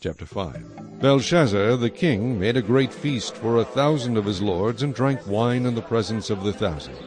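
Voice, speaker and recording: A man's voice: on the low side, moderate pace, a little mellow, soft background music. A man giving a scripted narration of scripture as a Bible narrator.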